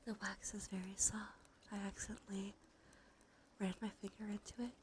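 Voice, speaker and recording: A woman doing gentle, unhurried ASMR in a breathy whisper and soft murmur, her nose slightly stuffy. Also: medium pitch, flat delivery, slight background noise. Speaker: ASMR streamer, host. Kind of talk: ASMR, soft intimate role play and rambling. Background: soft music.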